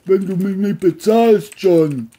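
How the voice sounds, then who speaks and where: A dopey, stubborn male cabbie from a crime audio story as put on by a narrator. His voice is extremely dark and dull in tone, and he speaks slowly and bluntly. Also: medium pitch, voice pushed up. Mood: demanding. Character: dim, carefree, stubborn male taxi driver.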